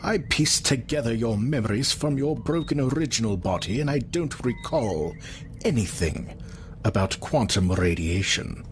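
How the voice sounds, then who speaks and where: An actor playing an arrogant reptilian scientist in an audio drama, articulate, theatrical and condescending. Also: male voice, mid-range voice, expressive, normal speed, a little hiss or hum, lightly acted. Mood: smug, explanatory.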